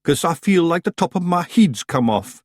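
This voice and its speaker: A man's voice: fairly high pitch, big pitch swings, normal speed, darkish tone, no echo, studio quality, pitched above the performer's own voice. A man voicing tiny, boisterous male pictsie warriors. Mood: rowdy. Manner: rough, boisterous, cheeky.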